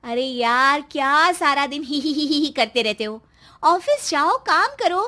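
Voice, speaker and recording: Woman acting a sarcastic, very high-voiced nagging wife. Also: expressive, unhurried, pushed and bright, exaggerated voice. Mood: scolding. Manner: scolding, mocking, exasperated. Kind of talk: acted dialogue in a Hindi comic story.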